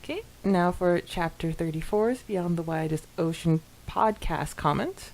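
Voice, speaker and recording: A woman's voice: fairly low pitch, measured pace, a little mellow, rough recording. A woman co-hosting a podcast, talking casually and agreeably as she discusses fan topics and reads listener comments. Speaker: podcast co-host.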